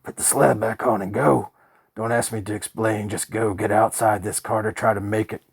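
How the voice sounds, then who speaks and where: A man's voice: fairly low pitch, fairly even intonation, measured pace, slightly rough. A man voicing a terrified man trapped underground, pleading in a panicked, breathless rush. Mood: desperate pleading.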